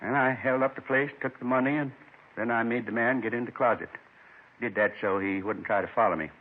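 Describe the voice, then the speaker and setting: An actor playing a lonely, gentle man in his seventies, folksy and wistful, confessing in short rambling phrases in 1950s radio drama style. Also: mid-range voice, brisk, close to an everyday voice. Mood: remorseful. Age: 70s. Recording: muffled 1950s radio recording.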